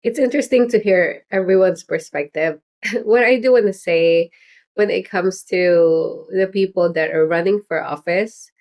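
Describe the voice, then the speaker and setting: A middle-aged woman chatting loosely on a livestream, her voice sitting a little low. She rambles, restarts and fills gaps with "um" and soft laughs, warmly casual and unscripted. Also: measured pace. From Philippines heritage. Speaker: political candidate hosting a livestream.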